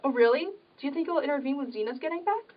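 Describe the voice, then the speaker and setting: A woman's voice: high-pitched, fast, natural voice. A woman playing a meek, anxious young woman in an amateur period drama. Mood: meek, concerned. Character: timid, anxious young woman, devoted poor relation. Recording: muffled audio, distant microphone.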